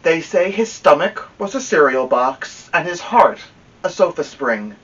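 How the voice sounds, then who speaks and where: A man reads a story aloud in a fairly high, very animated voice. He leans on dramatic pauses and stretches words for emphasis. The sound is dull and comes from well away from the microphone. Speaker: story-time host reading a children's novel aloud. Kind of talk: expressive read-aloud storytelling. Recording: dull lo-fi sound, distant from mic.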